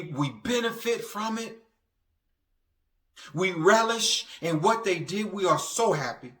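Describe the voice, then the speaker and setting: Male preacher, echoey room, fairly high forceful voice, halting delivery, repeated phrases, motivational sermon. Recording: echoey, reverberant room sound.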